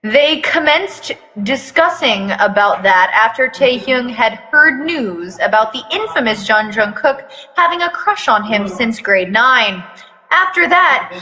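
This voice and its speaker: Slow-paced, bright-voiced woman reading in long reverb. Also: fairly high pitch. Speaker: reader performing a fan fiction aloud. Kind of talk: dramatic reading with mocking asides.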